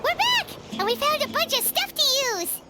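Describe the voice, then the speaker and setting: A woman playing a tiny, excitable fairy companion in a video game, with a squeaky childlike voice and exclaiming delivery. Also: normal speed, very noisy. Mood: surprised, chirpy. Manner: exclaiming, refers to self in third person. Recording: loud background music under voice.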